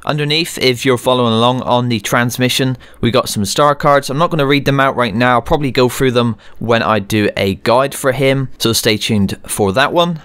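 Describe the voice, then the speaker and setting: A man with a mid-pitched voice delivers gaming news commentary as a channel host. He talks straight to his viewers in an informal way, moving between prepared script and his own remarks. The voice-over is close and dry, with very little room sound. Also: moderate pace, few pauses, fairly bright tone, smooth, no echo. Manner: addresses viewers directly, informal.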